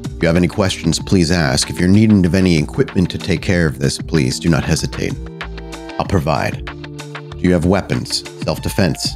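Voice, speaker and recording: A man voicing a grave, commanding vampire prince, speaking in a slow, formal, measured way. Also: low voice, voice pushed down. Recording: music behind the voice.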